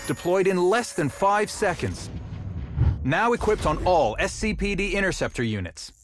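Slightly high-voiced tech corporation presenter. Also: male voice, sing-song, normal speed, a little hiss or hum. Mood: slick, confident. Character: slick defense-technology corporation presenter unveiling police hardware. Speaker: corporate technology narrator. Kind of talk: scripted promotional narration.

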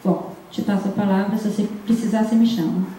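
A woman's voice: medium pitch, steady tone, quick pace, dark tone. A middle-aged woman, informally introducing speakers as moderator at a conference. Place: large dim seminar room. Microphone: handheld microphone into room speakers, recorded by distant camera. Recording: echoey room, distant mic, background noise.

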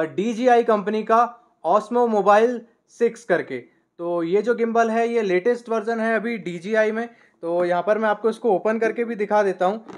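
A young man in his twenties gives a casual review of his vlogging gear, talking to the camera from a desk in a small room through a podcast mic on a boom arm and filling his pauses with "uh". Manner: relaxed, many "uh" fillers, shows each item.

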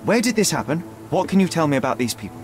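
An actor playing a young detective in a game, articulate, precise and self-assured. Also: male voice, a little high, big pitch swings, fast, music in the background. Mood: pleading, apologetic. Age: young adult. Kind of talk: dramatic game cutscene dialogue. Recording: faint night ambience behind.

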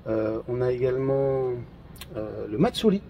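A man in his thirties presenting trophies outdoors in a garden, in a relaxed, hesitant casual chat with many fillers. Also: mid-range voice, unhurried, gravelly, dull audio, slight background noise. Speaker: event organizer presenting trophies.

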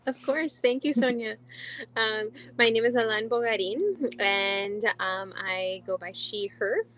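Woman panelist, slow friendly delivery, slightly off-mic video-call audio. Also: on the high side, a bit bright, a little hiss or hum. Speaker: panelist, film director. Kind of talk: self-description on a video panel.

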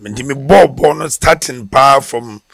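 A middle-aged Ghanaian man with a Ghanaian accent, a former footballer telling his story in a relaxed way, with restarts, in a casual outdoor interview on a headset mic. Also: mid-range voice, measured pace, vocal fry, no room sound, studio quality. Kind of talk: casual interview in Twi mixed with English. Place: outdoor porch by brick wall.

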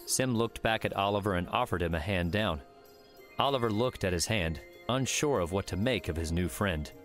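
A male narrator with a low, deep voice reads scripted fiction. His storytelling is measured, with music faintly underneath. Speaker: audiobook narrator.

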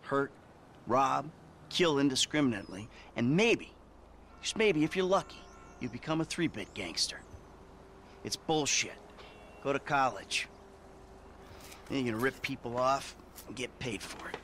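An actor playing a jaded, hot-tempered middle-aged American criminal in a video game, with a cynical, profane way of talking. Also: male voice, medium pitch, lively intonation, unhurried, long pauses, fairly bright tone, slight background noise. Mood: cynical, weary. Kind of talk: video game cutscene acting.

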